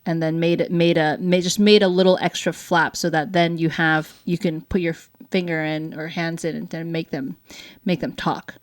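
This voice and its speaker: A woman's voice: low-pitched, little pitch movement, normal speed. A woman chatting informally and explaining things in a casual, loosely phrased way, often saying "like". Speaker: show co-host, parent.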